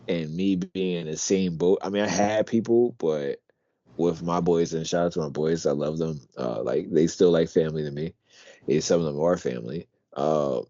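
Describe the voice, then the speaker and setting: A young man from Pennsylvania co-hosting a podcast, talking in a deep, quiet voice through his teeth, relaxed and rambling. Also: no room sound, muffled recording. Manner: relaxed, rambling, swears casually. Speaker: podcast co-host. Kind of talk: casual chat.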